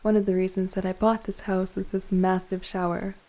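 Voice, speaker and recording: A woman playing a gentle, flirtatious woman in her forties in an intimate ASMR romance roleplay, speaking softly and unhurriedly in a breathy near-whisper. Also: mid-range voice, level tone, lightly acted. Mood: intimate, shy. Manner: soft, tender, hesitant, unhurried flirting.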